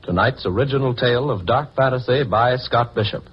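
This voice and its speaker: Husky 1940s radio announcer, a man. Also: mid-range voice. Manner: formal, measured. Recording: dull, husky-sounding 1940s radio recording.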